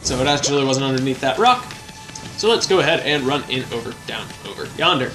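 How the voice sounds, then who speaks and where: A man's voice: medium pitch, lively intonation, quick pace. A young man casually commenting on his video game playthrough, chatty and self-deprecating, with bouncy exclamations. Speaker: let's play host. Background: game music.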